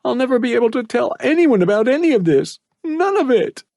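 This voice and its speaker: A man voicing a frightened teenage boy trapped in a cage, high and tearful with a cracking voice. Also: lively intonation, moderate pace, darkish tone, no room sound, studio quality.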